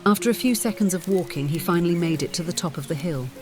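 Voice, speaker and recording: A narrator reads a scripted story for an audiobook. The voice sits at a middling pitch. Music is clearly heard behind the reading. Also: fast.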